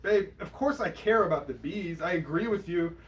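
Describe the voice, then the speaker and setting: A man's voice: high voice, expressive, normal speed, rough recording. A man playing a flippant, laid-back partner in a naturalistic audio drama, speaking in a casual, wry, dismissive way. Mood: defensive, casual. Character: laid-back, flippant partner, nervous about bee stings. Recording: faint background noise.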